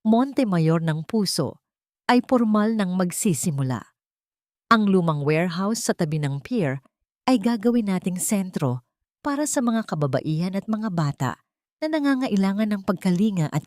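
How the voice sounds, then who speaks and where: A woman with a Filipino accent voicing a gentle, earnest rich man in an audiobook, solemn and measured. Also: mid-range voice, big pitch swings, dry recording, studio quality, lightly acted. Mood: tender, proud. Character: gentle, earnest wealthy older man in love. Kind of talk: audiobook character dialogue.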